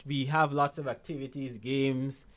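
A man with a Guyanese accent talks like a motivational coach in an interview. His delivery is conversational and emphatic, circling back to repeat key phrases and often checking that the listener follows. The pitch sits in an ordinary middle range for a man. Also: steady tone, measured pace, a bit bright. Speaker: financial literacy coach, interview guest.

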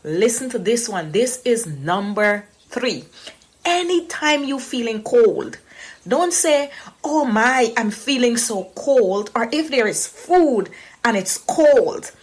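A woman's voice: fairly high pitch, takes it slowly, slightly rough. A woman with a Guyanese accent casually and playfully teaching Creole sayings to her viewers. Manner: playful, animated, coaxing. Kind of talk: casual instructional chat.

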